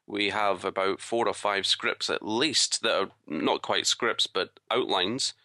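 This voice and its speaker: A man's voice: on the low side, unhurried, pushed and bright, no room sound, studio quality. A man co-hosting a podcast in casual, wry, joking chat. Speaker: podcast co-host.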